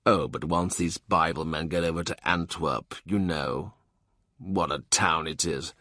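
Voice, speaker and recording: Slow deep-voiced male narrator. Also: fairly even intonation, sharp tone, no echo. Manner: measured, dry reading with quoted dialogue. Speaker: audiobook narrator. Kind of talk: scripted literary narration.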